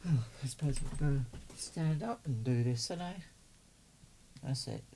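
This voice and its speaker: A man's voice: mid-range voice, expressive, a little slow, vocal fry, dark tone, a little hiss or hum. A man giving casual, doting unboxing commentary as a hobbyist, chatting and muttering to himself as he works. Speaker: radio hobbyist vlogger.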